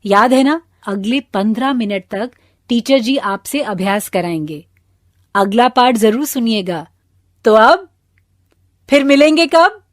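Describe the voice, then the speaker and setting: A woman's voice: fairly high pitch, expressive, very fast, no room sound, clean studio sound, lightly acted. A woman with an Indian accent playing a schoolteacher, instructing children in a scripted lesson with prompting questions. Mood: instructive, encouraging. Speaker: teacher presenting an English lesson for children.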